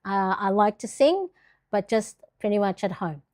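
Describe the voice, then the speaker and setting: A woman's voice: medium pitch, expressive, moderate pace, a little mellow. A middle-aged woman from the Philippines chatting casually on a livestream, rambling with lots of fillers and restarts. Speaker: planner livestream host.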